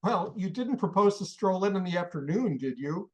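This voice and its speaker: An actor playing an impatient older American millionaire who barks orders and scolds. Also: male voice, sits high, animated, a little mellow, lo-fi sound. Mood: scolding. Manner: barking, scolding, rapid-fire orders. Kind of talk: radio play acting.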